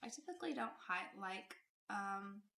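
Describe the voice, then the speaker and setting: A woman's voice: mid-range voice, expressive, moderate pace, gravelly, pushed and bright, a little hiss or hum. A woman hosting a casual makeup vlog, chatty and friendly, her voice still a little off from a recent illness. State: recovering from illness, voice off. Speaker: beauty YouTuber.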